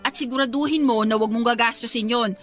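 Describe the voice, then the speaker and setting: A woman's voice: fairly high pitch, quick pace, natural voice. A woman acting a practical, scolding young best friend in a Tagalog radio drama, with a Filipino accent. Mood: preachy. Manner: scolding, preachy, exclamatory. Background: music.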